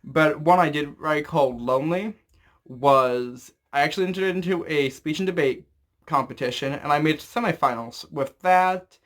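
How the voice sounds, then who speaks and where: A man's voice: fairly high pitch, moderate pace. A young adult man talking casually to an audience in a rambling, self-deprecating way. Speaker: vlogger. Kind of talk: casual chat to camera. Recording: clear background noise.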